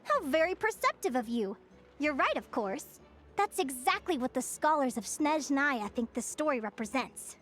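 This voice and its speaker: High, cheerful young naturalist teacher. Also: female voice, big pitch swings, normal speed, faint music behind. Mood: encouraging. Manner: bright, encouraging, teacherly. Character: cheerful young female naturalist lecturing to children. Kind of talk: video game voice acting.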